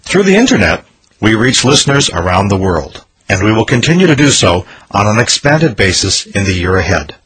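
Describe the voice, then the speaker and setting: Husky low-voiced male radio host. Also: big pitch swings, normal speed, fairly bright tone, rough recording. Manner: earnest, promotional. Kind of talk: scripted year-in-review narration.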